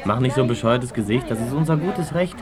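A man plays a cynical, unfazed teacher in a radio drama. His mid-pitched voice is blunt, with very loud crowd noise around him. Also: lively intonation, a little fast, over loud music, lightly acted. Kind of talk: radio play acting.

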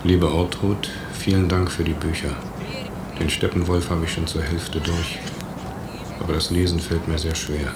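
Very deep, despairing male prisoner. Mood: despairing, numb. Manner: flat, halting, withdrawn. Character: despairing lonely male prisoner reading his letter. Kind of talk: radio drama letter monologue. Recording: very noisy cassette, echoey room.

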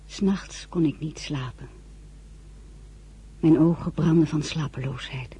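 An actress playing an earnest, tender young woman who tells her own story in a radio drama, hushed and intimate. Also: low voice, measured pace, husky, full character voice. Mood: somber. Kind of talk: dramatic first-person narration, radio acting.

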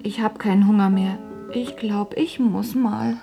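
A woman's voice: medium pitch, fairly even intonation, measured pace, creaky, music in the background. A woman playing a blunt, exasperated young adult daughter smothered by her father, in radio drama dialogue and narration. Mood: exasperated, evasive. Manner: curt, blunt. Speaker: actress.

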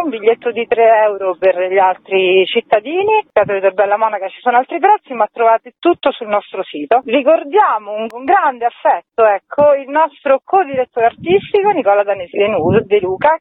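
A woman's voice: on the high side, dry recording. A woman, enthusiastic and emphatic, presenting a festival programme as a guest in a radio interview. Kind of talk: promotional radio interview, semi-spontaneous presentation. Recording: phone-like, narrow-band radio interview audio.

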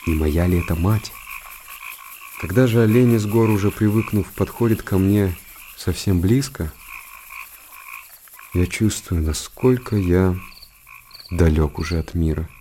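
Low, mellow hermit monk. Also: male voice, measured pace, pauses often, a little hiss or hum. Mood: wistful. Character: contemplative male hermit monk living in seclusion. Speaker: narrator in a radio play. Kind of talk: literary radio drama monologue.

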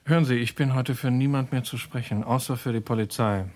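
A man's voice: mid-range voice, steady tone, a little fast, a little mellow, lightly acted. An actor playing a guarded, nervous young American man hiding crimes in a radio drama.

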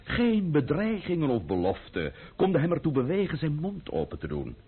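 An actor playing a proud, sardonic French count in an old-fashioned Dutch radio drama, speaking with ornate, ironic politeness. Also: male voice, a little high, huge pitch swings, moderate pace, husky, narrow, tinny audio, exaggerated voice. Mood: urbane, exasperated.

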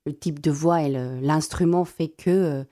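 A young South African woman whose first language is Afrikaans, a classical singer answering radio interview questions in a halting way with many repeated words. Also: sits low, dark tone.

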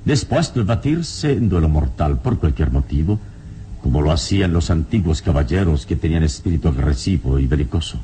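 An actor playing a serene, noble adventurer hero in an old radio serial, speaking in a measured, solemn way. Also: male voice, animated, warm tone. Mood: calm, authoritative. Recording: noisy, rumbling old radio recording.

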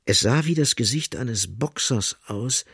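A man's voice: mid-range voice, lively intonation, measured pace, creaky, a bit bright, breathy edge, no room sound. A man narrating a literary radio play in a studio. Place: dry, close studio.

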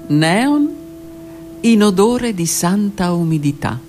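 A woman reading her own literary text aloud on the radio, in a slow, intimate voice that often drops to a whisper. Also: mid-range voice, sing-song. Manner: slow, intimate, hushed delivery with whispered words. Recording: radio broadcast with faint music.

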